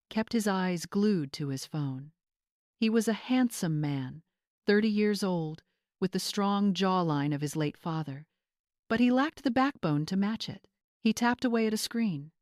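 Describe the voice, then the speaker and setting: Female audiobook narrator for scripted family drama: slightly low register, dry studio-like sound. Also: dry recording.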